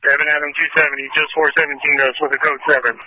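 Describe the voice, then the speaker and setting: A man's voice: fairly high pitch, level tone, racing, bright, forceful tone, no room sound, rough recording. A man speaking as a police officer over scanner radio, giving clipped procedural reports.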